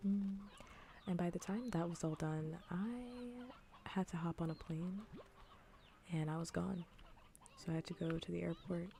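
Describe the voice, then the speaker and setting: A woman speaks softly and breathily, often close to a whisper, as she streams a cozy game. Her voice sits a little low and is mellow, with plenty of creaky fry. She rambles casually and circles back over her own phrases. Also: unhurried, pauses often, slight background noise. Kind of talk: soft-spoken ASMR gaming stream chat.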